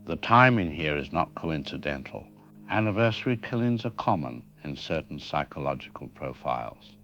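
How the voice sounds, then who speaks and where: A man playing a professor interviewed as an expert in a radio drama, speaking in a measured, analytical way. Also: rumbling low, sing-song, a bit bright, a little hiss or hum, full character voice. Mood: calm, grave. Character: grave male professor explaining a killer's mind. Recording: dull lo-fi radio sound with faint music.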